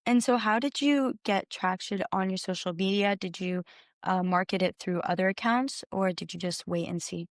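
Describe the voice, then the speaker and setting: A woman's voice: mid-range voice, normal speed, no echo, studio quality. A woman conducting an interview, asking prepared questions in a conversational exchange.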